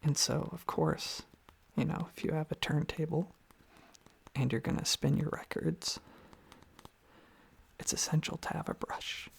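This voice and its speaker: Hushed, gravelly ASMR whisperer. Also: mid-range voice, steady tone. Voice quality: breathy, husky whisper. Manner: rambling, soft, repeats phrases slowly. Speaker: ASMR video creator. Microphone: close ASMR microphone.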